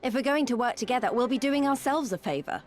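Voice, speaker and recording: An actress playing an eager, earnest young adventurer in a video game, politely keen. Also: female voice, sits high, lively intonation, fast talker, faint music behind. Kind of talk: scripted video game dialogue.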